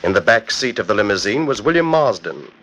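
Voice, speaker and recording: A man narrating a 1940s radio mystery in a theatrical, deliberate storytelling manner. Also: lowish voice, sing-song, a bit bright. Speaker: narrator, host. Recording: old 1940s radio broadcast.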